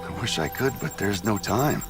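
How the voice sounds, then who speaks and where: An actor playing a businesslike, urgent corpse disposal worker in a game drama, brisk, direct and practical. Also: male voice, lowish voice, lively intonation, close to an everyday voice. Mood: grim, urgent. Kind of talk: dramatic video game cutscene acting. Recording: music behind the dialogue.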